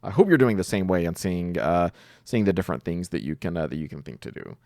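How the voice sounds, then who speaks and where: Man, deep voice, creaky fry, casual tutorial presenter, informal think-aloud delivery, close dry sound. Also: lively intonation, fast talker, darkish tone, no room sound. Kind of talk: casual screen-recorded coding tutorial.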